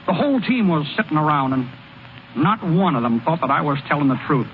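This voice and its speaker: High-voiced hayseed American ballplayer, acted by a man, old radio. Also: expressive, a little fast, narrow, tinny audio, lightly acted. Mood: distraught. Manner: folksy, ungrammatical, hesitant stammering speech. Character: naive, boastful-turned-dejected hayseed male baseball pitcher. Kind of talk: old-time American radio drama acting. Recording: thin, noisy vintage radio broadcast recording.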